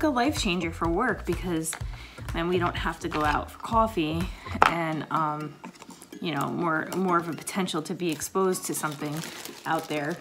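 A woman with a slightly raspy voice chatting casually to camera in her kitchen, rambling with lots of fillers. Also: lowish voice. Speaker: vlogger, paramedic. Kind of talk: casual coffee chat to camera. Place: home kitchen, indoors.

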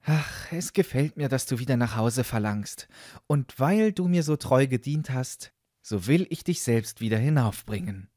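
A man voicing a kindly old woman of fairytale magic, coaxing and gently instructing in a storybook reading. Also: mid-range voice, expressive, unhurried, dry recording, clean studio sound. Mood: approving.

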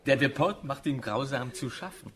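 An actor in a German radio drama playing a bossy, self-important officer who acts the protective friend. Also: male voice, mid-range voice, normal speed, lightly acted. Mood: urgent, bossy.

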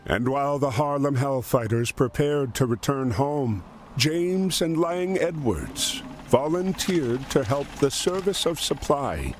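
A man's voice: mid-range voice, animated, slow pace, slight background noise. A man giving solemn, scripted storybook narration for a war story game. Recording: music behind the voice. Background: music.

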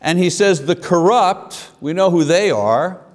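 A man in his fifties preaching a sermon at a church pulpit, animated and asking the congregation questions. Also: high-pitched, a little slow. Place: church sanctuary at a wooden pulpit. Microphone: lapel mic. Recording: long hall reverb.

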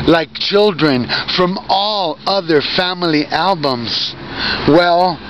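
A middle-aged man giving a dramatic poetry reading in deliberate, theatrical, separated phrases, recorded outdoors on a handheld camera close to his face. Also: fairly high pitch. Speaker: poet and performance artist. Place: outdoors by bare trees. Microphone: camera held very close at arm's length. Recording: dull lo-fi outdoor recording, loud ambient noise.

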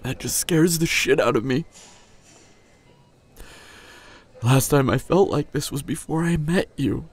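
A man playing a tender, grieving young hunter boyfriend in an intimate, emotional audio drama, halting and husky, often dropping toward a whisper. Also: fairly high pitch. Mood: grieving, scared. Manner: halting, emotional, long pauses. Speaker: audio roleplay voice actor.

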